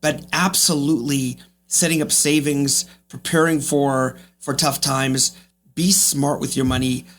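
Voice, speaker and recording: A man in his fifties talks as a guest in a reflective interview. His voice sits at a medium pitch with a gravelly creak. He drives points home by repeating phrases. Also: little pitch movement, a little slow, fairly bright tone. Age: 50s. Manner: emphatic, repeats phrases for effect. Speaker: podcast guest, real estate agent.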